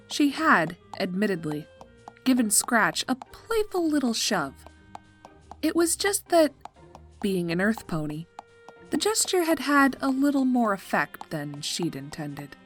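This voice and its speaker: A woman narrating scripted fiction in an expressive, measured, drily comic storytelling style. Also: medium pitch. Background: music.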